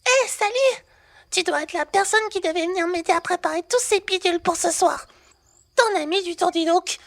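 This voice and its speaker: A woman plays a brash young working-class girl for a roleplay audio drama. Her voice is very high, and she talks in loose, casual slang. She is cheeky and always ready to tease. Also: normal speed, gravelly, a bit bright, heavily acted. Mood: teasing, breezy.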